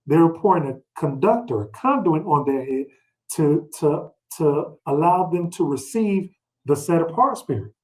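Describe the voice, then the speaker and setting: Informal male Bible teacher, fairly high voice. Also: poor audio. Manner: colloquial, rhetorical questions and check-ins with listeners. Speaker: Bible teacher leading a read-along. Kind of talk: informal, conversational scripture teaching with personal anecdotes.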